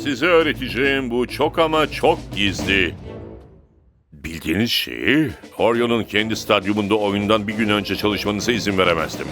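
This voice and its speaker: A man's voice: lowish voice, normal speed, fairly bright tone, soft background music, natural voice. A man voicing a confident, commanding football coach in a dubbed cartoon, authoritative and emphatic. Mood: confident, instructing. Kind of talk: animated cartoon dub acting.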